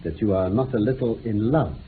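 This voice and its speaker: An actor playing a self-assured gentleman detective, precise and dryly ironic, in a radio drama. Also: lowish voice, fairly quick, lightly acted. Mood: assured, deductive. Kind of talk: 1960s British radio drama acting. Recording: tinny, band-limited old radio recording with hiss.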